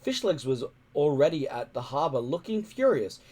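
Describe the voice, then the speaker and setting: Animated, high-voiced male storybook reader. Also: moderate pace. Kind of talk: expressive storybook narration.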